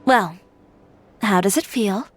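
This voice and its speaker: Actress as cool confident young designer lady in a game, mid pitch, faint music behind. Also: takes it slowly, natural voice. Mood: composed. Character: self-assured, assertive young female fashion designer, cool and composed. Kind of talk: video game voice acting.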